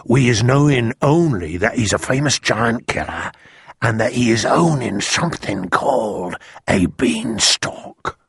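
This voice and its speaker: A man voicing a gentle, friendly giant in a storybook, confiding in hushed tones with quaint muddled grammar. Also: medium pitch, lively intonation, measured pace, vocal fry, husky, no room sound, clean studio sound, full character voice. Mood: hushed, explaining.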